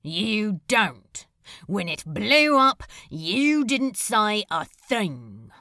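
Irritable male demon, played by a woman, creaky and sharp, exasperated, swinging widely in pitch. Fan audio drama. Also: very animated, extremely slow, no echo, clean studio sound, heavily acted. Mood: sullen, hurt. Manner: exasperated, dramatic delivery with growls. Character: irritable, snarling male demon, defensive about his car.

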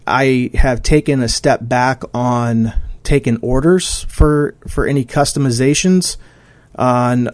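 A man in his forties hosts a solo podcast, rambling along in a casual way and often stopping to restart a thought. His pitch sits in an ordinary middle range, and the recording is dry and close, with no room sound. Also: a little mellow, no echo. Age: 40s. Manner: rambling, frequent fillers and restarts. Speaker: podcast host.

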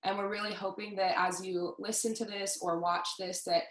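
A woman's voice: medium pitch, level tone, moderate pace, fairly bright tone, roomy sound, low-quality recording. A woman in her twenties from Southern California, co-hosting a podcast in an upbeat, casual way. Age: 20s. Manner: upbeat, friendly, lots of fillers. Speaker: podcast co-host. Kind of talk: casual chat.

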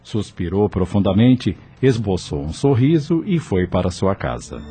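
A man's voice: on the low side, big pitch swings, unhurried, music in the background. A man narrating a scripted radio drama as its storyteller.

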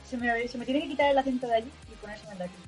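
A woman with a northern Spanish accent, co-hosting a gaming podcast in a casual, animated way, laughing and swearing freely. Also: on the high side, rapid-fire, soft background music. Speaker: podcast co-host.

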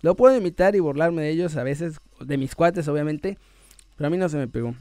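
A Mexican man with a high voice hosts an online sports show in a casual, chatty style. He is playful and joking, drawing out words for emphasis. The sound is dry and close. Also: lively intonation, fairly quick, warm tone, dry recording. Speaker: host of a sports news video channel. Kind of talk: casual chat to viewers, reading out messages and shout-outs.

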